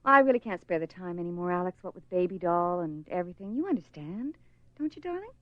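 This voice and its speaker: A woman's voice: on the low side, very animated, quick pace, dry recording. A woman playing an obsessed, eerily contented wife with a possessive edge in a radio horror play. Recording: dull lo-fi, dry radio recording.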